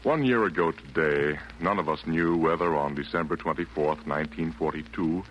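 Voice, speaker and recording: A man announcing on 1940s radio in a formal, measured style. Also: extremely low pitch. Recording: muffled, noisy vintage radio broadcast.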